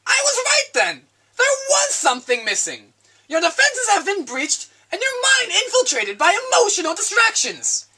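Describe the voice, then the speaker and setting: Stern female spirit of wisdom, acted by a woman, high-pitched, bright, theatrical, declamatory, lofty teacher, philosophical stage dialogue. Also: huge pitch swings, normal speed, exaggerated voice. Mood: grave, emphatic. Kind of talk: dramatic reading of a philosophical dialogue.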